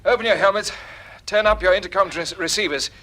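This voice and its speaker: An actor playing a steady, decisive space captain in a 1950s radio drama, with brisk, clipped commands. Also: male voice, high voice, lightly acted. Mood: urgent, steady. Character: steady, decisive male space captain leading his crew. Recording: vintage radio serial with music behind.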